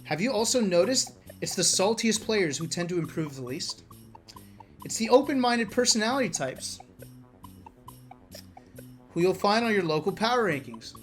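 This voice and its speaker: A streamer reading a book aloud in a casual way, breaking off for wry asides and talking directly to viewers. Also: medium pitch. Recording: music playing behind the voice. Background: background music.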